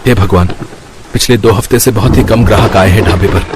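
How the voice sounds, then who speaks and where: A man's voice: lowish voice, brisk, background noise, lightly acted. A man voicing a worried, humble village eatery owner in a cartoon, speaking plaintively. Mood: despairing.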